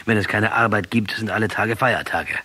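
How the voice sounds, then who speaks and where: An actor playing a defiant, passionate, sardonic captured guerrilla commander in a German radio drama, combative and mocking, with a husky voice. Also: male voice, lowish voice, moderate pace, bright, forceful tone. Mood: accusing, pleading. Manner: combative, mocking, rhetorical, insistent.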